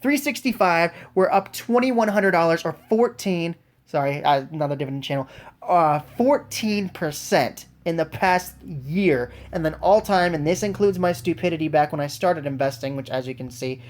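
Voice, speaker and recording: A woman's voice: on the low side, lively intonation, normal speed. A woman with a cold gives casual, enthusiastic vlog commentary as the host of an investing channel. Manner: enthusiastic, informal. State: congested with a cold.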